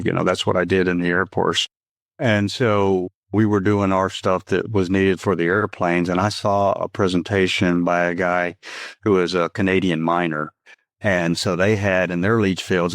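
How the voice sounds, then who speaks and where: A man's voice: sits low, no room sound, clean studio sound. A man in his fifties from Texas with a light Texan accent, a company founder explaining his work earnestly and steadily in a podcast interview.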